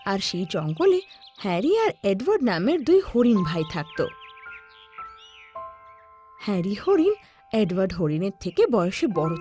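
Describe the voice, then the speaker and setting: A woman's voice: mid-range voice, mellow. A woman narrating a scripted children's cartoon story. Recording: background music under the voice.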